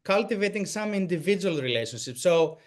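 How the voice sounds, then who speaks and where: Greek-accented male presenter, slightly high pitch, halting delivery with frequent restarts. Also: expressive. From Greek American. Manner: halting, many restarts and fillers. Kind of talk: answering questions in a talk.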